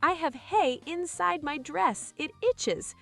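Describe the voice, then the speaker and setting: A woman voicing a bossy, exasperated young farm girl in a storybook, shrill and complaining. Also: slow, creaky, soft background music, full character voice. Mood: bossy, shrieking.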